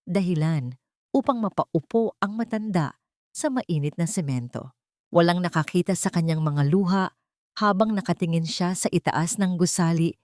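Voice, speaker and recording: A woman narrates a scripted audio drama in a solemn, deliberate, emotive storytelling manner. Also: sits low, a little mellow, no echo, clean studio sound.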